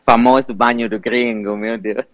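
A man from Italy with an Italian accent, a guest in a relaxed interview, chatty and laughing often, with halting non-native phrasing. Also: mid-range voice, no echo. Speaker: guest, Instagram cooking creator.

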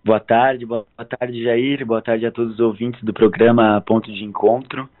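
A hoarse man in his thirties from southern Brazil, a guest musician speaking politely and hesitantly in a phone interview. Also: mid-range voice, little pitch movement, moderate pace. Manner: hesitant, filler-heavy, polite. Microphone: phone line. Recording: tinny phone line.

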